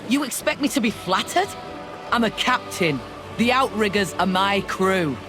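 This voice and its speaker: An actor playing a proud, combative captain of outriggers in a video game cutscene. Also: male voice, high voice, animated, moderate pace. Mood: indignant. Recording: music behind the voice.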